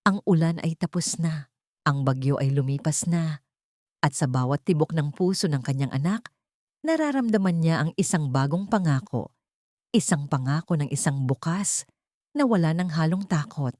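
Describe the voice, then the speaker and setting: A woman narrating a dramatic audiobook story in a steady storytelling manner. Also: deep voice, normal speed, dry recording, studio quality.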